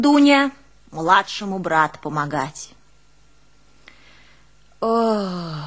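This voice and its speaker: Garrulous old peasant woman, rural northern Russian accent, highish voice, exclamatory, lamenting, theatrical folk storytelling. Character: garrulous, long-suffering old Russian peasant woman recalling her hard life. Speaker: stage actress in a one-woman play. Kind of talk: theatrical monologue, folksy storytelling.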